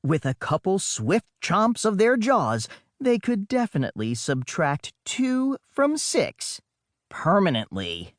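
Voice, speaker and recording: A man narrates a children's adventure in a highly animated, swooping voice that sits fairly high for a male. He takes his time and drops in wry asides like a boy telling his own story. The sound is dry and close. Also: slow pace, no echo. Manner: dramatic, wry conversational asides. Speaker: audiobook narrator.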